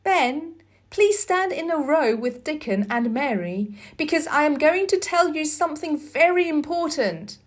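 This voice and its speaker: An imperious young storybook boy, played by a woman, earnest and determined. The voice sits very high and swings widely, declaring each line slowly and with emphasis. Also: lively intonation. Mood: excited, commanding.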